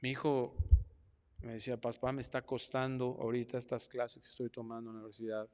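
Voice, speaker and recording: A middle-aged man with a Mexican accent delivers a sermon in an ordinary mid-range voice. He preaches with animation, dropping into quiet asides and rising loud to mock excuses. A long reverb from the church hall trails each phrase. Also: mid-range voice, flat delivery, fairly quick. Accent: Mexican Spanish. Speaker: pastor.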